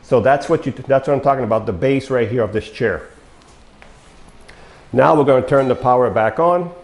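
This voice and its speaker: An older man demonstrating a power wheelchair in a showroom, talking folksy and step by step to viewers he calls folks, his voice going hoarse with coughs. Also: medium pitch, moderate pace, a little mellow. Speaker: mobility dealer demonstrating a wheelchair. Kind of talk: product demonstration talk. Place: large bare showroom studio, indoors. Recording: echoey room.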